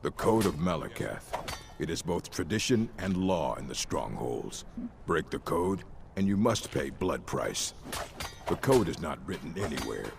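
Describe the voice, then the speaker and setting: A stern orc chieftain from a fantasy game, with an extremely low, gravel-filled voice. He speaks in solemn, commanding declarations full of pride. There is clear noise behind him. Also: very animated, measured pace, heavily acted. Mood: stern, proud. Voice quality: very deep, gravelly. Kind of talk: fantasy video game acting.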